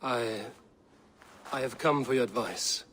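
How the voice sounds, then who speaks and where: An actor playing a guarded, blunt Viking raider, speaking firmly and tersely in a game cutscene. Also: male voice, medium pitch, measured pace, a bit bright, a little hiss or hum, natural voice. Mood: troubled. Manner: firm, terse, plain-spoken. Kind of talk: video game cutscene acting.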